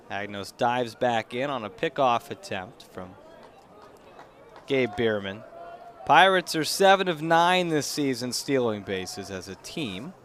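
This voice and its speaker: A man's voice: medium pitch, fairly bright tone. A young man doing live baseball play-by-play commentary over outdoor ambient noise. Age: young adult. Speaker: play-by-play announcer. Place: outdoor ballpark during a live game. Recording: noisy outdoor ballpark ambience.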